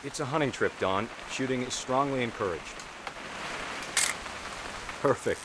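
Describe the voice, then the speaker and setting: A man's voice: medium pitch, measured pace, background noise, lightly acted. An actor playing a serious, outdoorsy middle-aged American father in a video game, earnest and instructive. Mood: upbeat, firm. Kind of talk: naturalistic video game voice acting. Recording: loud music underneath. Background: loud music.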